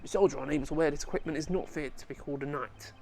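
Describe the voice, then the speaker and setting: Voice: medium pitch, very fast, darkish tone, slight background noise, close to an everyday voice. A performer voicing a proud, pompous knight in a fantasy puzzle game, with declamatory delivery. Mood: stern. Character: proud, boastful knight obsessed with weapons and duty.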